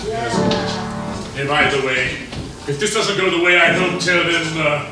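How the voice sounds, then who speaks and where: Echoey, high-pitched theatrical gentleman villain played by an actor. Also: animated, exaggerated voice. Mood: gallant, weary. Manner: theatrical, hesitant pauses, wry. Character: ageing gentlemanly male melodrama villain, wry and secretly noble. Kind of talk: old-west stage melodrama acting. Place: indoor playhouse stage. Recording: echoey theater from far off, music playing.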